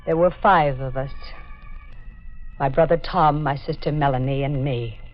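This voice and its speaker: A haunted-sounding old woman in a 1940s radio drama, brooding and grave. She speaks very slowly, on a dull vintage recording with music behind. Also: low voice, fairly even intonation. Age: older. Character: brooding old woman, last of a doomed family.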